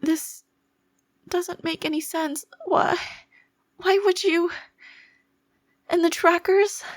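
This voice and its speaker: A woman playing an obsessive, possessive yandere girlfriend, menacing yet fragile and devoted, in a dramatic, halting ASMR roleplay style. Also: very high-pitched, fairly even intonation, no echo. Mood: shocked, worried.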